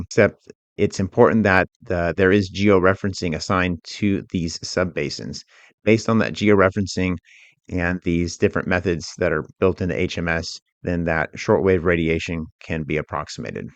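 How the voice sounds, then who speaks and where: A man working as an instructor, narrating a software tutorial in a methodical, informal, step-by-step way. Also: sits low, no echo.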